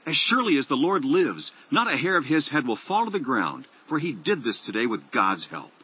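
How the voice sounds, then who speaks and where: Raspy, wildly swooping Israelite subject. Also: male voice, medium pitch, very animated, brisk, dull audio. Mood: defiant. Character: loyal, outspoken Israelite man addressing his king. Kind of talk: dramatized audio Bible acting.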